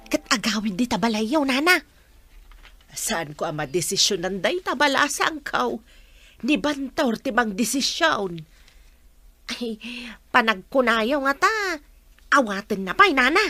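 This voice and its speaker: A woman's voice: high-pitched, sharp tone, no echo, exaggerated voice. A woman playing an older, fretting mother in an Ilocano radio drama, exclaiming and persuading. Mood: worried, insistent. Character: older mother, fretting and nudging her grown son.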